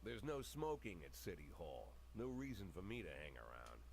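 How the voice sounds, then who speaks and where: An older, cynical police chief with a low, lively voice. He is weary and sardonic, speaking like a hard-boiled noir game lead. There is faint noise behind him. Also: normal speed, full character voice. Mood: dry defiance. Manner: dry, sardonic. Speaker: narrator and lead character of a video game.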